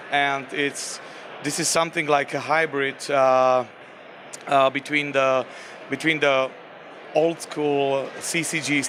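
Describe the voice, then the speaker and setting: A game designer with a Slovak accent talks in a flat, even tone. He hesitates and restarts often. Faint traffic noise sits behind him outdoors. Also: mid-range voice. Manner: halting, many fillers and restarts. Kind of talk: convention interview.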